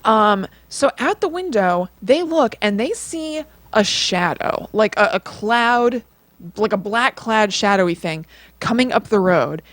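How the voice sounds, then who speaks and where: A woman's voice: mid-range voice, expressive, measured pace, no echo. An American woman co-hosting a podcast, telling stories in a casual, chatty way with lots of informal filler words like "like". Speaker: podcast co-host. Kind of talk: casual conversational storytelling.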